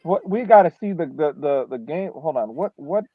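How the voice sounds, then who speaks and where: A man co-hosting a casual sports analysis chat, halting and repeating words as he thinks, with thin, phone-like sound. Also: fairly high pitch, lively intonation, no room sound. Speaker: podcast co-host.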